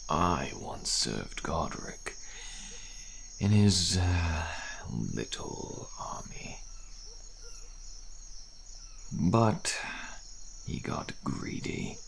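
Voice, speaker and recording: A man voicing a world-weary, bitter deserter soldier on the run in an audio roleplay, speaking in a gravelly, breathy murmur with halting, musing phrases. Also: low voice, very animated, slight background noise, full character voice. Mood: resentful. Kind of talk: audio roleplay, slow addressed monologue. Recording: faint outdoor night insect ambience.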